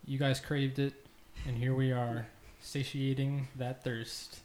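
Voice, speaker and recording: A man's voice: mid-range voice, level tone, slow. A man hosting a casual group podcast with playful, mock-grandiose banter. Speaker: podcast host. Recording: echoey room, faint noise.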